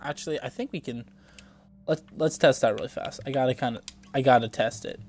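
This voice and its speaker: A man's voice: mid-range voice, fairly quick, a little hiss or hum. A young man with a congested, stuffy voice, casually commenting on a video game as he plays, rambling and thinking aloud with some swearing. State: congested from a cold. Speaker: gameplay streamer.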